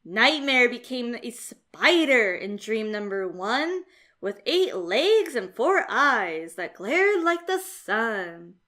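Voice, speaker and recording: A woman reading a rhyming children's storybook aloud as narrator, in a theatrical, expressive and measured way. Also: on the high side.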